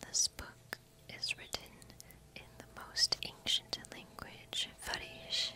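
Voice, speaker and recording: A young woman whispers close to the mic as a kind forest witch in an ASMR fantasy roleplay. The whisper is breathy and very bright, with sharp detail on every consonant. She takes her time, telling things slowly and deliberately. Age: young adult. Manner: slow, soft, deliberate storytelling as a kind witch. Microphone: close microphone.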